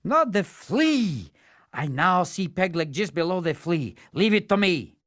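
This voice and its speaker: Folktale sharpshooter, voiced by a man: excitable, stubborn, exclamatory, swooping up high. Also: a little slow, a little hiss or hum, full character voice. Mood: excited. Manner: exclamatory, emphatic.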